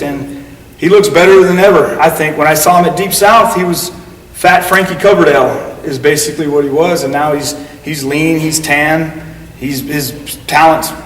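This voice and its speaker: A man's voice: fairly high pitch, recorded from a distance. Rambling man in an echoey room. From United States. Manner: rambling, full of fillers and acted-out quotes. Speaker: guest wrestler. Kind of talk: casual interview storytelling. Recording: echoey room heard from afar with faint noise.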